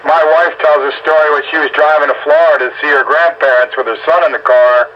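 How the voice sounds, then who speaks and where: A man's voice: on the high side, steady tone, fast talker. A middle-aged man telling anecdotes in a casual group chat over a noisy two-way radio. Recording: noisy narrow-band two-way radio audio.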